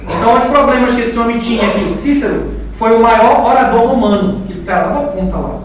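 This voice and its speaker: A man's voice: extremely high pitch, brisk. A Brazilian man giving an informal lecture to a church preaching class, conversational and didactic, repeating words and putting questions to his listeners. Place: echoing indoor room, live class. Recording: very echoey room, distant mic, faint noise.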